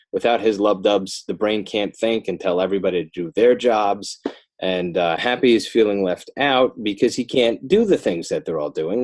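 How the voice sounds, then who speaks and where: Deep-voiced American man, halting. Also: darkish tone. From northern New Jersey, United States. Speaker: interview guest, children's book author and illustrator. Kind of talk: casual interview talk.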